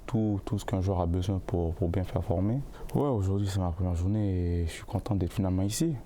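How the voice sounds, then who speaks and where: A young male football player answering interview questions in a casual, halting way, often repeating words while he looks for the phrasing. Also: deep voice, steady tone, mellow, a little hiss or hum. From born in the United States, raised in Canada. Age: young adult.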